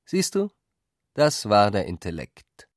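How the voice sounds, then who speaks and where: Bitter accusing guy in a radio play, deep voice, dry studio. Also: huge pitch swings, takes it slowly, dry recording, heavily acted. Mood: pointed. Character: reproachful, bitter man accusing his rival. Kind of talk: radio drama acting.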